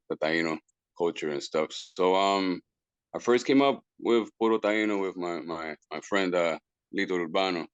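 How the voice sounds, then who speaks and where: A man's voice: deep voice, smooth, no echo, lo-fi sound. A man, a guest musician who talks casually, stumbles over repeated words and drops into Spanish phrases. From Puerto Rico. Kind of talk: casual interview talk.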